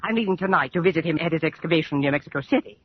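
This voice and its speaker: A man's voice: fairly high pitch, very fast, slightly rough, no echo. An actor playing a fussy, flustered, prissy museum man in a 1940s radio drama, prim and wordy. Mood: fussy, explaining. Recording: muffled, old 1940s radio broadcast.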